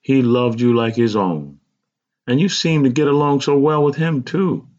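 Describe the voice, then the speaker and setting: Man voicing an earnest, high-pitched mother. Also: normal speed, darkish tone, dull audio, natural voice. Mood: sincere, earnest. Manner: sincere, pleading. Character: earnest, insistent middle-aged mother confiding in her son.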